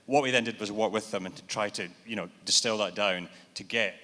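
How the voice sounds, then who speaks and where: A man's voice: fairly low pitch, fairly quick, sharp tone. A man answering questions on a conference panel in a businesslike, explaining way. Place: large event hall. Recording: reverberant hall.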